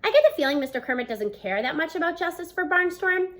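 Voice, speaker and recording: A woman in her thirties narrates a children's book. Her voice is high and very lively in pitch, with an expressive storytelling delivery, picked up by a device at arm's length indoors. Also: fast talker. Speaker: read-aloud narrator. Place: small room at home, indoors.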